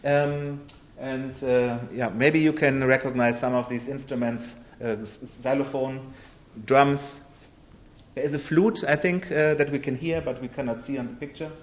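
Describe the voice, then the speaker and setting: Male academic speaker, medium pitch, halting informal talk delivery, somewhat muffled audio. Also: steady tone. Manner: halting, with frequent pauses and check-in tags. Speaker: lecturer on sound archive history.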